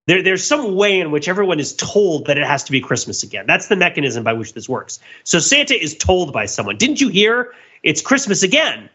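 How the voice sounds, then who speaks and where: A man's voice: high voice, sing-song, fairly quick, sharp tone, breathy edge, muffled recording. A man co-hosting a podcast, explaining things in a wordy, analytical way in casual pop-culture discussion. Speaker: podcast host.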